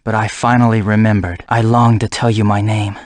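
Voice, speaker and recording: A man's voice: fairly low pitch, level tone, no echo, lightly acted. Brooding vengeful assassin, played by a man, close and dry. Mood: remorseful. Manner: grave, measured, formal. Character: brooding vengeful male assassin, haunted by his past. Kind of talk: dramatic English video game voice acting.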